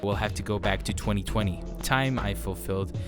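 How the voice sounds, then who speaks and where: Young guy talking earnest and reflective, lowish voice, guitar music playing under him. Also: brisk. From Norway. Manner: earnest and reflective, with frequent "so yeah" fillers. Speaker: YouTube creator. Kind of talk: personal update monologue.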